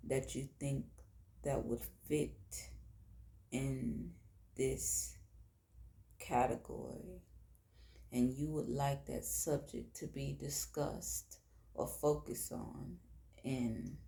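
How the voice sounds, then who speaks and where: A woman with a Southern US accent and a hoarse, tired voice, hosting an intimate late-night podcast monologue in deliberate, drawn-out phrases. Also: extremely low pitch, dark tone, echoey room. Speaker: podcast host.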